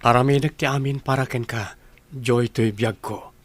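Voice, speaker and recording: An actor with an Ilocano Filipino accent playing a devoted, romantic young suitor in a radio drama. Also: male voice, mid-range voice, fairly even intonation, normal speed, dry recording, natural voice. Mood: tender, romantic. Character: devoted, romantic young suitor, sweet-talking his beloved.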